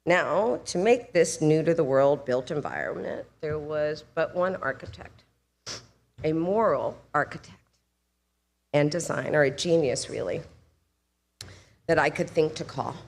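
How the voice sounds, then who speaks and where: A woman's voice: sits low, lively intonation, gravelly. A woman giving a formal, emphatic introduction speech at a ceremony. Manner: emphatic, measured. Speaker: speaker introducing the architect.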